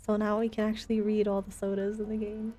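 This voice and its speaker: A woman's voice: mid-range voice, completely flat delivery, quick pace, mellow. A woman chatting casually with her viewers while livestreaming a video game, in a chatty, giggly manner.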